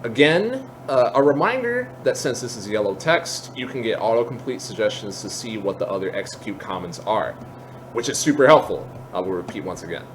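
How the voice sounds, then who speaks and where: A man talks through an unscripted software tutorial in a casual, explanatory way, correcting himself as he goes. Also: fairly low pitch, sing-song, brisk, a little hiss or hum. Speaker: tutorial host.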